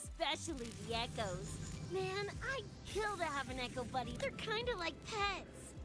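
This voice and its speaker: A woman's voice: very high voice, sing-song, moderate pace. A woman playing a brash, bouncy young punk girl in a video game, slangy and exclamatory. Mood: chatty, curious. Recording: loud music over the dialogue. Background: loud game music.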